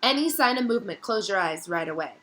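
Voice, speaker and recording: A woman's voice: mid-range voice, lively intonation, fast talker, pushed and bright. A woman voicing an earnest, determined young boy wizard in a storybook reading, urgent and plain-spoken. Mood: determined, tense.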